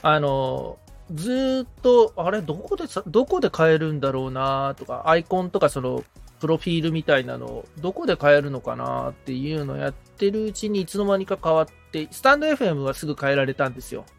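A man's voice: fairly high pitch, lively intonation, a little fast, gravelly, few pauses, faint music behind. A man chatting casually as a podcast assistant, agreeable and reflective as he takes advice. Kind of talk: casual conversation with frequent listening responses.

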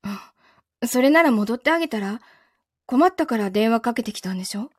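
A woman acting in a Japanese voice drama as a calm, understanding, quietly lonely girlfriend, speaking gently with a Kansai accent. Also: on the high side, a little fast, no room sound, clean studio sound. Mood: understanding, reassuring. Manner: composed, gentle, reassuring.

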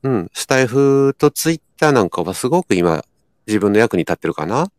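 A man with a Kansai accent talks casually with lively, swinging intonation. His voice sits at a middle pitch in a dry, close sound, and he often pauses to think aloud. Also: dry recording. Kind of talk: casual chat.

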